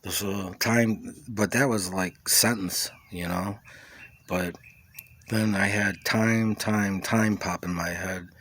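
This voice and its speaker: A man recording an informal, rambling personal testimony, speaking in a halting, reflective way with repeated words and trailing asides. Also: deep voice, fairly bright tone. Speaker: vlogger sharing a personal testimony. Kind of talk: rambling, informal monologue recounting personal experiences.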